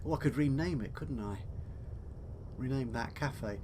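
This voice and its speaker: A man's voice: mid-range voice, a little slow, a little mellow. Man chatting over a game, some noise. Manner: chatty, thinking aloud, talking to himself. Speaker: gaming video host. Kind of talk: casual let's play commentary.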